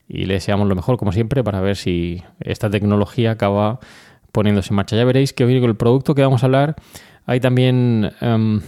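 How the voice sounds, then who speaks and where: A man's voice: fairly low pitch, dark tone. A man with an accent from Spain, hosting a podcast and talking through news items in an informal, explanatory, conversational way. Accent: Spanish from Spain. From Murcia, Spain. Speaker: podcast host.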